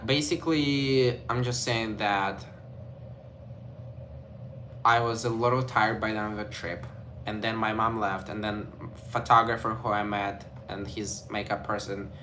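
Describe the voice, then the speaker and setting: A man's voice: lowish voice, bright, forceful tone, a little hiss or hum. A young man from Ukraine with a Ukrainian accent, chatting casually to camera in a vlog, rambling and filling his talk with "like" and "you know". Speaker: vlogger.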